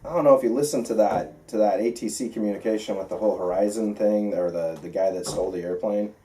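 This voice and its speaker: A man's voice: on the low side, fast talker, dark tone, slight background noise. An American man working as a flight instructor, giving an informal, conversational lesson with emphatic points and frequent check-in questions, picked up by a distant mic. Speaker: flight instructor teaching pilots. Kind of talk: informal classroom lecture with questions.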